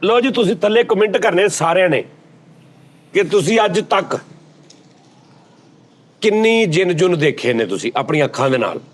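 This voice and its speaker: A man with a Pakistani Punjabi accent hosting a casual group chat, arguing and explaining in a sceptical way. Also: on the high side. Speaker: host of a comedy chat show.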